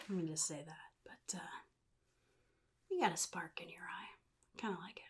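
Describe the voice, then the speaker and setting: A woman playing a sly, chaotic supervillain in a soft-spoken ASMR roleplay, often dropping into a breathy whisper with a casual, menacing drawl. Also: mid-range voice. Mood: teasing, aloof. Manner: casual drawl, offhand, slyly threatening.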